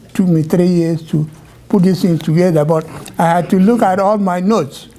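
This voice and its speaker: Older retired male teacher from Nigeria, high-pitched but mellow, hesitant remarks. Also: fairly even intonation. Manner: halting, hesitant. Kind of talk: informal remarks to an audience.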